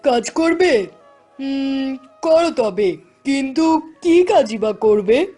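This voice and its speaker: A woman's voice: high-pitched, lively intonation, slow pace, warm tone, soft background music. A woman voicing a poor, weary male village grocer in a cartoon.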